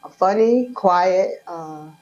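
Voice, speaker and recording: A woman's voice: fairly low pitch, slow pace, darkish tone. A young woman from Brooklyn, a comedian talking frankly and streetwise in an interview, often laughing. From Brooklyn, New York. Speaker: comedian guest. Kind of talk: casual interview chat. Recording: dull lo-fi audio.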